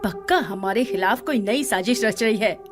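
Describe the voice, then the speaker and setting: A woman playing a suspicious, scornful, money-minded wife in an audio drama, with a sharp, contemptuous manner. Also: mid-range voice, moderate pace, over music, natural voice. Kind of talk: Hindi audio drama acting.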